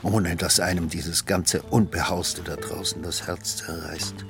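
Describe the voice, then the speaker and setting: A melancholy figure full of regret in a literary radio play. His wistful voice sits slightly low, with clear music underneath. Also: steady tone, a little slow. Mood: regretful. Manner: wistful, self-reproachful. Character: regretful, melancholy man looking back. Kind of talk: literary radio drama monologue.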